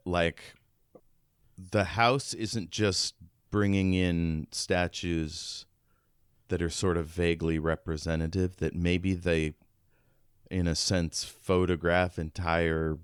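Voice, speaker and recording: A man's voice: low voice, slow pace, clean tone, no room sound, clean studio sound. A man co-hosting a podcast, casually discussing a book and reading bits of it out. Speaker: podcast co-host.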